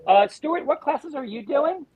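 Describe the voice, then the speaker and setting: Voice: high-pitched, expressive, unhurried, a little mellow. A host casually moderating a live video chat and introducing the other speakers. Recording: tinny phone-like video call.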